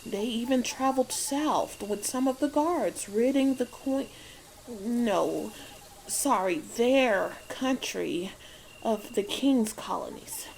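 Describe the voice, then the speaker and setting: A woman playing an elderly, knowing witch in a dark fairy tale audiobook, speaking in measured, cryptic and solemn storytelling. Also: a little high, vocal fry, a little hiss or hum, poor audio. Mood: grave recounting. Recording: music behind the voice. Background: music.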